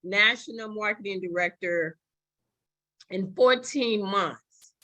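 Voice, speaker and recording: A woman's voice: medium pitch, takes it slowly, bright, forceful tone. A woman on a video call giving an emphatic motivational talk to her team and introducing a video. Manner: emphatic, repetitive.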